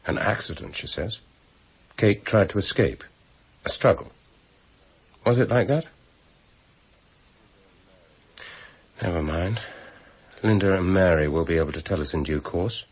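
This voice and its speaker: An actor playing a weary, measured senior police detective with quiet authority and an English accent, in a radio drama. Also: male voice, low-pitched, slightly rough, no echo. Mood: stern, pressing. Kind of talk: 1990s British radio drama acting. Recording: muffled, noisy old radio broadcast recording.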